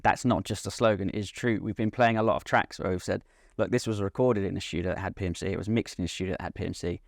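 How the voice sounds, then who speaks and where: A man speaking as a company representative in an interview, giving measured, unscripted technical explanations of a product. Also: low-pitched, fairly even intonation, creaky, no echo, clean studio sound. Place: at a hi-fi trade show.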